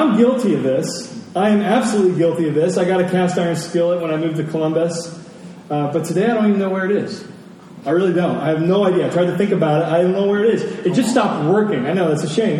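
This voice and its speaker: A man preaching in a conversational sermon style, earnest and rhetorical, pausing deliberately for weight. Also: sits high, warm tone. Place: large reverberant room, church service. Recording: very echoey large hall, distant, noisy.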